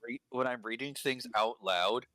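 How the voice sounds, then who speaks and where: A man's voice: medium pitch, normal speed, bright, forceful tone, no room sound. A man talking casually and articulately about pronunciation, with dry, wry humor. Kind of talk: casual conversation.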